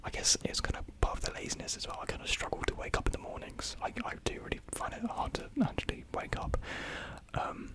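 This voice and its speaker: A young adult whispering softly and breathily into a close microphone in a casual ASMR chat, answering viewer comments in a hesitant, rambling, self-deprecating way. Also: fast.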